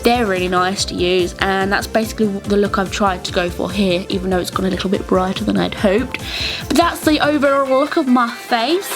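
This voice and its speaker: A woman's voice: medium pitch, a little fast. A young British woman giving a casual, chatty, enthusiastic beauty tutorial voice-over. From England. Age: young adult. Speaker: beauty vlogger. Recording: music bed under the voice. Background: clear background music.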